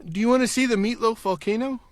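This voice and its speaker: A man's voice: extremely high pitch, fast, no room sound, clean studio sound. A man playing an awkward, food-obsessed caller to tech support, hesitant and earnest, in a comedy phone sketch. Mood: friendly, needy.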